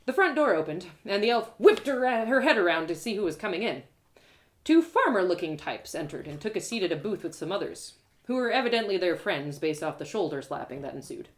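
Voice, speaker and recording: A woman reading her own story aloud live as an expressive narrator. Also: medium pitch, fairly quick.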